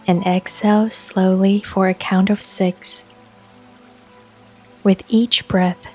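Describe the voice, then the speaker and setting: A woman narrating a scripted guided meditation in a calm, soothing, unhurried way, with long pauses between phrases. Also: medium pitch, husky. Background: soft music and rain sounds.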